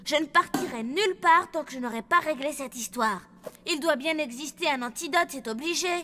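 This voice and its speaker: A high-voiced, plucky young boy. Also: fairly quick, bright, forceful tone. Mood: resolute. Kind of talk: cartoon voice acting. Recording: music behind.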